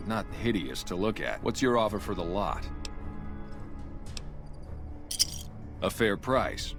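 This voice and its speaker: A man playing a stern, contemptuous, zealous slave master with a haughty, formal manner in a video game. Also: low voice. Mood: businesslike, cold. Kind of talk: video game dialogue acting.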